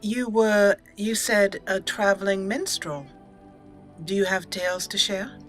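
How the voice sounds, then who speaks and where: A woman's voice: medium pitch, a little slow, faint music behind. A woman hosting a video game let's play, narrating the roleplay and reading dialogue aloud with expression. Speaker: gaming channel host.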